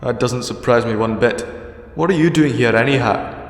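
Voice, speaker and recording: An actor playing a wry, rambling, eccentric time-travelling hero in an audio drama, with a talkative, quippy delivery. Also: male voice, medium pitch, normal speed, a little hiss or hum, lightly acted. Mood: concerned, sincere. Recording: echoey, long reverb, music behind.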